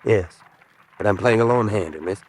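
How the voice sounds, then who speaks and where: A man's voice: fairly low pitch, moderate pace, lightly acted. A desperate spy on the run, played by a male actor in a radio drama. He is a hunted American man who speaks with urgent, dramatic intensity, as if begging to be believed. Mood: insistent.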